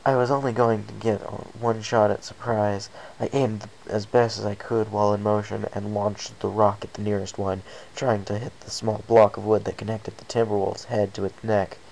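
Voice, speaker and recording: Low, gravelly male story reader. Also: flat delivery, normal speed, hardly any pauses, a little mellow, poor audio. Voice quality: low, creaky. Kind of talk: scripted first-person story narration. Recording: faint background hiss.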